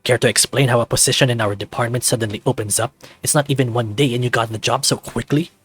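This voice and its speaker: A man's voice: medium pitch, fairly even intonation, fast, fairly bright tone, breathy edge, no echo, soft background music. A man voicing a brooding, hostile, muscular male furry lab worker in a visual novel, curt and menacing. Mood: accusing.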